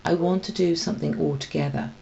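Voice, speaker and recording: A woman's voice: deep voice, wildly swooping pitch, quick pace, creaky, mellow, highs cut off, slight background noise, full character voice. Woman voicing a nervous, dramatic female cat. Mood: anxious, sulky. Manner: dramatic, anxious delivery. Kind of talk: children's storybook read-aloud.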